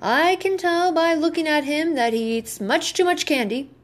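A woman acts out a grave older gentleman doctor from a children's storybook. The voice is raised high and pushed forward, emphatic and reproving in every pronouncement. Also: normal speed, lightly acted. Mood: knowing, firm.

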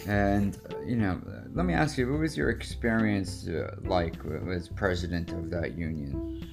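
A man hosting a casual conversational interview, speaking in a halting, hesitant way. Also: low voice, vocal fry. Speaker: podcast host interviewing his father. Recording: music playing behind the voice.

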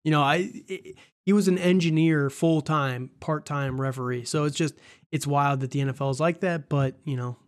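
A man's voice: fairly high pitch, moderate pace. A man with a cold, sounding stuffed up, hosting a casual sports podcast in a rambling, chatty way and laughing often. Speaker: podcast host.

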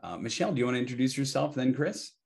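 A man's voice: mid-range voice, racing, darkish tone. A man hosting an online meetup, friendly and thankful, inviting the guests to speak. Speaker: meetup host.

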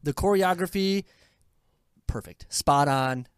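A woman's voice: deep voice, slow, loud music behind the voice. A woman hosting a casual film review chat, speaking emphatically and with strong opinions. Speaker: film review podcast host.

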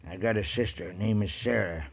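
An actor playing a lonely, unschooled mountain hermit in a 1940s radio drama, with an American accent and folksy, ungrammatical speech. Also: male voice, lowish voice, a little fast, gravelly, breathy edge. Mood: slow, matter-of-fact. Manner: folksy rustic speech with poor grammar. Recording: muffled, hissy 1940s radio recording.

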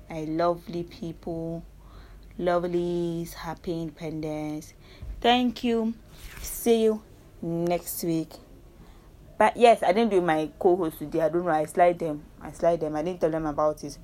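This is a young woman with a Nigerian accent and a voice pitched a touch low. She talks in a loose, earnest monologue to her listeners. She often doubles back to rephrase herself. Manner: rambling, self-correcting, earnest and persuasive. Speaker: podcast host. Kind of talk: casual solo monologue.

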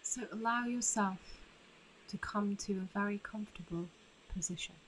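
A woman guiding a meditation in a soothing, unhurried manner with long pauses between phrases. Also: medium pitch, fairly bright tone, a little hiss or hum, poor audio. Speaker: meditation guide. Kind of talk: guided meditation narration.

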